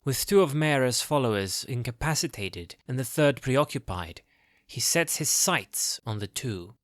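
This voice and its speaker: Male narrator, medium pitch, scripted fantasy storytelling, dry close sound. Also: expressive, measured pace, no room sound.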